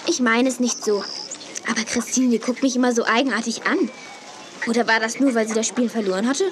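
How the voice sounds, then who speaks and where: An actress playing an excitable, cheeky teenage little sister in a children's audio drama. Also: female voice, a little high, brisk, lightly acted. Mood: gossipy. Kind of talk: 1980s German children's audio drama acting. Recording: faint noise and ambience behind.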